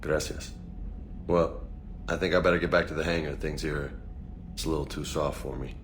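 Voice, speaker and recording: An actor playing a casual, easygoing but burdened soldier in a video game, with informal, slangy speech. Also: male voice, rumbling low, fairly even intonation, normal speed, a little hiss or hum. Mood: earnest. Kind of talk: conversational video game acting.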